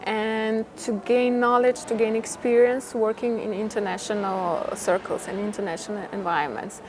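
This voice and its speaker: A woman's voice: medium pitch, fairly even intonation, moderate pace. A woman who works in theatre, explaining her views in an interview. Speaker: theatre worker being interviewed. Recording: clearly noisy ambient background.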